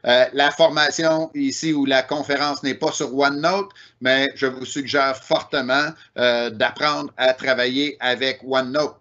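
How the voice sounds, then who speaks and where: A man's voice: medium pitch, steady tone, a little slow, few pauses, pushed and bright, muffled recording. A man with a Québécois French accent giving an online technical presentation, explaining step by step with frequent "euh" and "ben" fillers.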